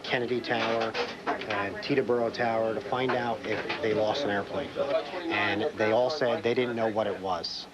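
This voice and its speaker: Male supervisor interviewee, slightly low voice, deliberate and matter-of-fact, voices in the background. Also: rough recording. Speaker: air traffic control supervisor, interviewee. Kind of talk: recounting events in an interview.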